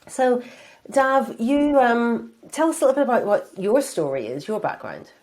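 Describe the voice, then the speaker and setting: A woman's voice: fairly high pitch, animated, normal speed, a little mellow. A woman hosting a company podcast, upbeat and encouraging as she introduces guests and asks questions. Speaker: podcast host. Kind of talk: hosted podcast interview.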